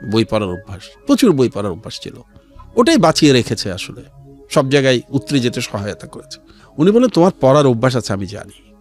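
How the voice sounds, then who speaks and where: An animated middle-aged man with a Bangladeshi accent, speaking in a medium register that moves up and down a lot. He reflects and advises earnestly as an interview guest, with faint music behind him. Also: mid-range voice. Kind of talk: reflective life-story storytelling and advice in a radio interview. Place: radio studio interview.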